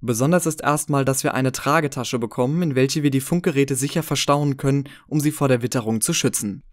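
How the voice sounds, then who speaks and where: A man's voice: mid-range voice, a little fast, no echo, studio quality. A man reading a scripted voice-over for a product comparison. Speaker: product review narrator.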